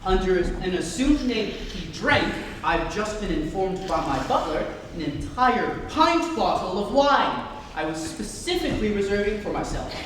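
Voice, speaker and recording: A young woman playing a stubborn, indignant gentleman guardian in a stage comedy reading, formal and earnest in delivery. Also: mid-range voice, sing-song, measured pace. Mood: stern. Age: young adult. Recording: echoey noisy hall from far off.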